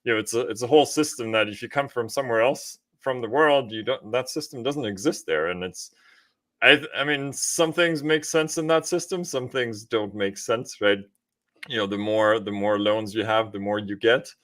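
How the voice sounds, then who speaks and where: Guy hosting a podcast, bright forceful voice, chatty explaining. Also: medium pitch, moderate pace. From Austria. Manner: informal, often checks with "right?". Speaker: podcast host. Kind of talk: casual conversational explanation.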